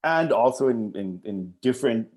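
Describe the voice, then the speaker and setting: A man's voice: mid-range voice, lively intonation, normal speed, darkish tone. A middle-aged man from Colombia, a professor answering interview questions in a hesitant, thoughtful way with many restarts. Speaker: archaeology professor, guest.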